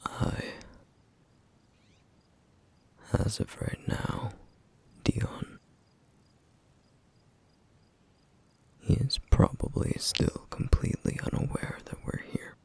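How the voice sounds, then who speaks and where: A man playing a brooding, protective flame demon in an ASMR roleplay, speaking in a grave, breathy, gravelly near-whisper with deliberate pauses. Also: darkish tone, lightly acted. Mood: grave, worried. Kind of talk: ASMR roleplay, intimate scripted monologue.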